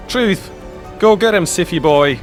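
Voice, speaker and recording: A man's voice: high-pitched, lively intonation, takes it slowly, voice pushed up. A man voicing a cocky, jovial male space officer who teases and gloats in a sci-fi audio story. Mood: amused. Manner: gloating, teasing. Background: background music.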